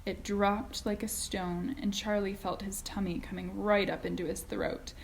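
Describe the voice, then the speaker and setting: A woman's voice: mid-range voice, little pitch movement, a little slow, slight background noise. A woman teacher narrating a children's story expressively, in read-aloud style.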